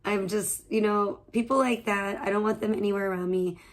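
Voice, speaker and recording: Hoarse, rambling woman live-streamer. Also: mid-range voice, steady tone, fairly quick. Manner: rambling, swears freely, laughs often. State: slightly hoarse voice. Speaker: livestreamer. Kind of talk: casual live-stream chat with viewers.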